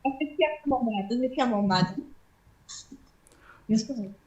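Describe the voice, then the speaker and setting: A woman's voice: fairly high pitch, expressive, unhurried, a little mellow. A woman from Ukraine on a video chat, asking curt, suspicious questions. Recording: muffled echoey video-chat audio.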